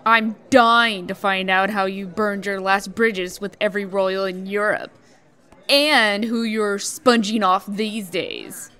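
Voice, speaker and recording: A woman's voice: mid-range voice, steady tone, slow, pushed and bright, clear, resonant tone. A woman playing an icy, venomous executive and scornful ex-wife, sarcastic and withering, in a comic dub.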